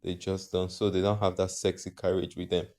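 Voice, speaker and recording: Brief: deep-voiced man from Africa, vlog host, loose and rambling, trips over himself. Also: level tone, a little fast, a little mellow. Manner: rambling, hesitant, often corrects himself. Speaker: channel host. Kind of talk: casual opinion vlog.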